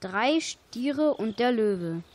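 A woman's voice: fairly high pitch, expressive, very slow, darkish tone. A woman making a scripted announcement as a radio presenter.

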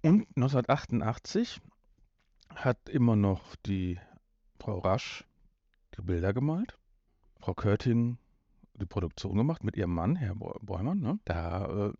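A man in his fifties hosts a casual podcast with a relaxed, mellow voice. He rambles and jokes as he goes. The sound is slightly muffled and roomy, as if recorded at home. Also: sits low, a little slow. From North Rhine-Westphalia, Germany. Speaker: podcast host. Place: room in a family home.

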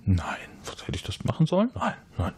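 A man's voice: huge pitch swings, brisk, a little mellow, slight background noise. A man playing a nervous, evasive base resident who rambles with many hesitations and fillers in an audio drama. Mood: flustered. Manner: rambling, hesitant, many fillers, jumps up in pitch when flustered.

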